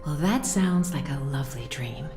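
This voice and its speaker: A woman voicing a gentle, solemn priestess mother in a video game, soothing and measured. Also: low-pitched, lively intonation. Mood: tender. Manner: soothing, measured, breathy at moments. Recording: roomy, with music behind.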